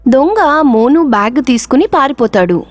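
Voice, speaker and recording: A woman tells a children's moral tale as a scripted narrator. Her voice is on the high side, and soft music plays behind her. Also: animated, normal speed, dry recording. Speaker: storyteller, narrator.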